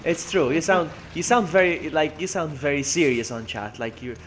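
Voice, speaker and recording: A man's voice: on the high side, moderate pace, a bit bright, slight background noise. A man streaming a co-op game, chatting casually with a friend and laughing easily as he plays. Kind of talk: casual co-op gameplay chat.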